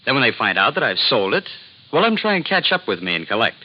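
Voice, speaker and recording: Surly American schemer, animated, dull old recording. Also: male voice, mid-range voice, quick pace. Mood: cagey, grasping. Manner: cagey, slangy, off-hand. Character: surly, nervous, grasping man of about thirty. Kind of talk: 1950s American radio drama acting.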